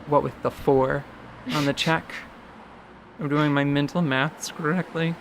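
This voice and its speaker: A woman's voice: deep voice, fairly even intonation, measured pace, a little hiss or hum. A woman chatting casually as a tabletop game player, in a conversational, bantering style.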